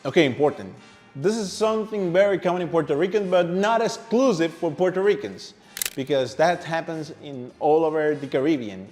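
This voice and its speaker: A man from Venezuela with a Venezuelan Spanish accent, a native Spanish speaker presenting a language lesson in a playful, animated, conversational way. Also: on the high side, moderate pace, faint music behind. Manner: playful and animated, with rhetorical questions and asides to the viewer. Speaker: language teacher, video host. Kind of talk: upbeat, conversational scripted lesson to camera.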